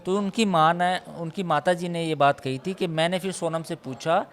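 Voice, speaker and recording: A male reporter talks through a case in a live news discussion. His voice sits a little high. He is emphatic, restating points and reeling off figures as he explains. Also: fairly even intonation, normal speed.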